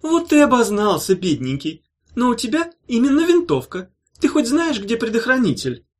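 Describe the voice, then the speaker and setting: A man reading an audiobook, voicing a stubborn former witch woman who mocks her captors, in a husky voice. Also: extremely high pitch, wildly swooping pitch, normal speed, voice pushed up, heavily acted. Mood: mocking.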